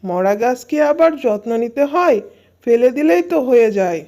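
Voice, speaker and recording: A woman's voice: a little high, very animated, moderate pace, mellow. A woman voicing a scornful, snobbish animal neighbour in a cartoon fairy tale, dismissive and sneering. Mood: contemptuous.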